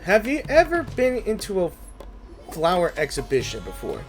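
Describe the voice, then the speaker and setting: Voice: mid-range voice, normal speed. A gaming streamer giving casual, reactive commentary over a game and reading dialogue along. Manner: animated, reactive. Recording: game music faintly behind.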